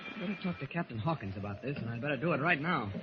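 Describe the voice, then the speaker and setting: An actor playing a level-headed, inquisitive hero, polite but blunt and probing, in 1940s radio style. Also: male voice, medium pitch, animated, racing, lightly acted. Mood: musing, suspicious. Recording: muffled, noisy old radio recording.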